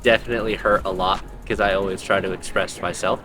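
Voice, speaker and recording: A man's voice: low-pitched, steady tone, quick pace, music in the background. A man giving a thoughtful, searching answer in a street interview.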